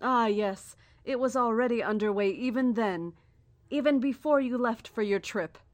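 A woman playing a serene but menacing female cult leader in a horror audio drama, speaking in a deliberate, formal, condescending and grandiose way. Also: a little high, dry recording, natural voice. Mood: reverent. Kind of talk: horror audio drama acting, live radio address.

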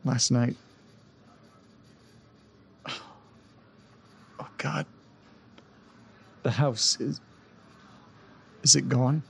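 An actor playing a dazed, anxious, apologetic man in a naturalistic audio drama, speaking haltingly in a husky, creaky voice. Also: medium pitch, fairly even intonation, slight background noise. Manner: halting, rambling, sighing.

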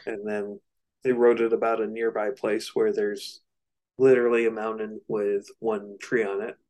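A man's voice: fairly low pitch, level tone, normal speed, a little mellow, poor audio. A man co-hosting a casual album discussion, explaining things in a loose, slightly hesitant way. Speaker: podcast co-host.

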